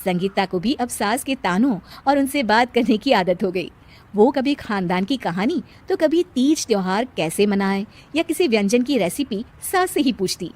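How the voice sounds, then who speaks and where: A woman's voice: mid-range voice, expressive, moderate pace, darkish tone. A woman narrating a scripted story for a cartoon video.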